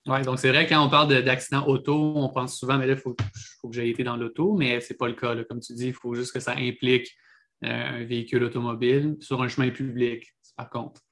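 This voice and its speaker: A man with a Quebec French accent, co-hosting a legal podcast in a calm, hesitant way. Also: medium pitch, a little fast. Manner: calm, hesitant, frequent fillers. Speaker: podcast co-host. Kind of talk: casual legal podcast chat.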